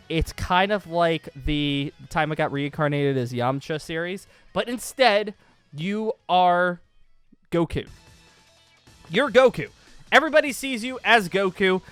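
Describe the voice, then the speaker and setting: Male YouTube host, slightly high voice, informal and rambling gaming announcements, music underneath. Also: fairly bright tone. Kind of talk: casual, excitable gaming announcement vlog.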